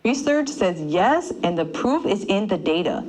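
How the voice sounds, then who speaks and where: A woman presenting a scripted health segment in a measured, upbeat and persuasive way. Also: mid-range voice, sing-song, a little mellow, slight background noise. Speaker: health presenter in a played clip.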